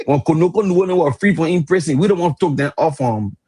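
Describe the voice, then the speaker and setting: Husky Liberian man in his fifties. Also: fairly high pitch, fairly quick. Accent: Liberian English. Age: 50s. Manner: animated, laughs mid-sentence, searches for words. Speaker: radio talk show panelist. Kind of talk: heated political debate.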